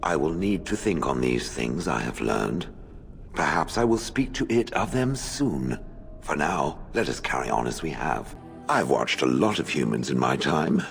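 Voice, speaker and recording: An actor voicing a haughty, sardonic ancient stone golem in a video game, with stilted, condescending, deliberate phrasing. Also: male voice, rumbling low, sing-song, gravelly, faint music behind, full character voice. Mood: dry condescension. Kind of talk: dramatic video game acting.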